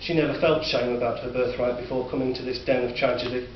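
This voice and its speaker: Northern English man, sombre measured narration, distant from the mic, roomy dull sound. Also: medium pitch, fairly even intonation. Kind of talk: scripted story narration. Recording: distant, roomy, dull recording with faint hiss.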